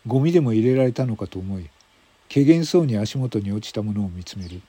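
男性がラジオドラマの台本を、間を取りながら語るナレーション。. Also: male voice, on the low side, animated, brisk, dark tone, breathy edge, no echo. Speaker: narrator. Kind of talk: scripted radio drama narration.

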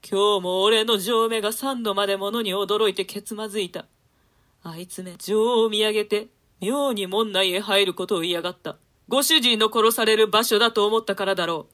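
A woman's voice: medium pitch, bright, forceful tone, close to an everyday voice. A woman playing a doomed, remorseful nobleman in a declamatory, archaic dramatic reading of Shakespeare. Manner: archaic, declamatory, swelling with emotion. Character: doomed, remorseful nobleman lamenting his own folly.

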